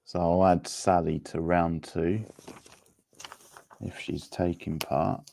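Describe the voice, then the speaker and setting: A man's voice: sits low, level tone, slow pace, gravelly, dark tone, slight background noise. A man hosting a quiz in a casual, chatty style. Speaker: quiz host.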